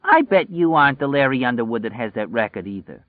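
A man voicing a strident young woman from the Bronx with a New York accent, shrill and whiny, in a slightly dull lo-fi recording. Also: big pitch swings, fast, no room sound, natural voice. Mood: indignant.

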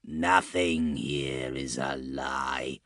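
A man voicing a hulking, wary Rotbringer warrior for an audiobook, speaking slowly and insinuatingly with drawn-out menace. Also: a bass voice, fairly even intonation, a bit bright, dry recording, clean studio sound, pitched below the performer's own voice, heavily acted. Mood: insinuating, ominous.

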